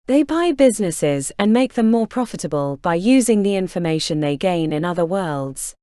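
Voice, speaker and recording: A woman playing a calm, businesslike corporate recruiter in an audiobook, speaking smoothly and unbothered. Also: on the low side, moderate pace, no echo, clean studio sound, lightly acted. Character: calm female corporate recruiter, coolly casual. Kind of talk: audiobook character reading.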